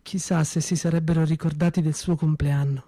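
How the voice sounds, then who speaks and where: A man narrating a theatrical monologue in a measured, grave manner with dramatic pauses. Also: on the high side, level tone, mellow, no room sound, studio quality. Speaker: storyteller.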